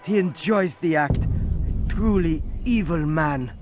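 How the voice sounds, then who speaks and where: Sorrowful high-pitched news bearer. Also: male voice, slow. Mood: grave, resigned. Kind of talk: dramatic video game cutscene acting.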